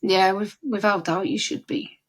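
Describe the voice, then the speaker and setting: A woman's voice: medium pitch, fairly even intonation, moderate pace. A woman with a Scouse accent hosting a podcast interview, chatty and encouraging, often restarting her sentences. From Liverpool area. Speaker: podcast host.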